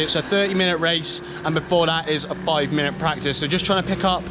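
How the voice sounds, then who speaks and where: A young man giving an eager, friendly intro to his video, outdoors at a kart track. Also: fairly high pitch. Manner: eager, friendly, asks viewers to subscribe. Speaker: karting vlogger. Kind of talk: upbeat channel intro. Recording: outdoor mic with road and kart noise. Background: vehicles racing around.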